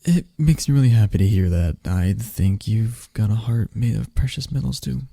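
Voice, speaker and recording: Shy best friend, male, young adult, soft and stammering, husky and low, played by a man. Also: moderate pace, dry recording. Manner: soft-spoken, stammering, gentle. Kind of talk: ASMR roleplay.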